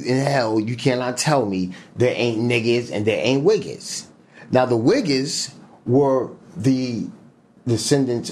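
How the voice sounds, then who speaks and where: A man's voice: lowish voice, a little mellow, a little hiss or hum. A man talking in an interview as a guest, emphatic and profane, full of fillers and slang. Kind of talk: casual interview talk.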